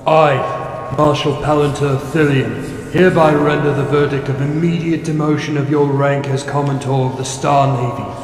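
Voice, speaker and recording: An actor playing a stern, imperious military marshal who interrogates formally and with authority in a sci-fi audio drama. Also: male voice, a little high, fairly even intonation, normal speed, natural voice. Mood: formal, grave. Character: stern, imperious male military marshal presiding over a tribunal. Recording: echoey hall reverb.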